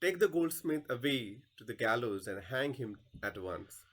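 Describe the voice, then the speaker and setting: A man's voice: mid-range voice, expressive, measured pace. A man playing an imperious, impatient king in a play reading, pompous and commanding. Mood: angry, commanding.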